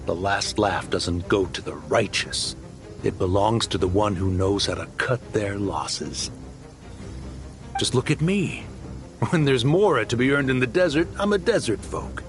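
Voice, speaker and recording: An actor playing a greedy smuggler posing as a philanthropist, boastful and wheedling, in a game cutscene over faint music. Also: male voice, fairly low pitch, lively intonation, measured pace. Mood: smug.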